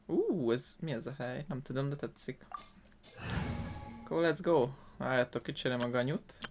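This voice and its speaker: A man's voice: mid-range voice, moderate pace. A young man with a Hungarian accent giving casual, informal, sweary let's play commentary. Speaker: gaming YouTuber. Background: game music.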